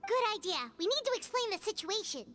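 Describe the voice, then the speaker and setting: A woman's voice: extremely high pitch, sing-song, fast, fairly bright tone, faint music behind, exaggerated voice. A woman voicing a small, childlike, eager fairy companion in a video game. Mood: chirpy.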